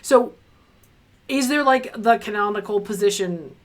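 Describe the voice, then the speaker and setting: A woman hosting a casual livestream interview, talking in an animated way and acting out little quoted asides. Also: mid-range voice, a little slow. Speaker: host interviewing guests.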